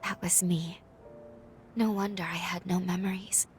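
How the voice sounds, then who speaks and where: A woman playing a gentle, dutiful young heroine in a video game, speaking softly in formal, earnest, measured phrases. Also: fairly low pitch, fairly even intonation, natural voice. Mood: solemn, resigned. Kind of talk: dramatic video game cutscene acting. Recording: game music behind the voice.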